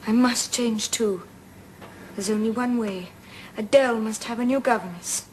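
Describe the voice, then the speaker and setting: An actress playing a young, principled governess who speaks softly and stays firm, in a period film drama. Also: female voice, a little high, moderate pace, a little hiss or hum, lightly acted, rough recording. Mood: resolved. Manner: restrained and soft-spoken, resolute.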